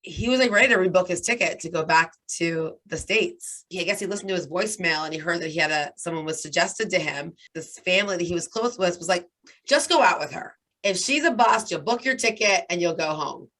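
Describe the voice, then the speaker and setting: A hoarse woman in her thirties with a raspy voice, chatting as a guest and telling a long story with lots of "like" and "right?". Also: on the low side, fairly quick, a bit bright. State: hoarse from talking nonstop. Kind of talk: casual interview chat.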